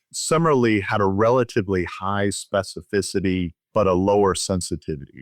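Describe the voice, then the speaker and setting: A man's voice: deep voice, dry recording, studio quality. A man speaking as a physician guest, explaining clinical findings in a conversational interview style.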